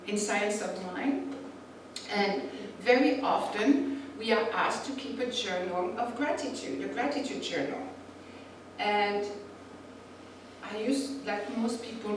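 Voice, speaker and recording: A woman whose first language is not English, giving a reflective spiritual talk and reading passages aloud, with a few restarts. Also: fairly high pitch. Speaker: spiritual teacher. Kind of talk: sermon with read passages. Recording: echoey room from far off, faint noise.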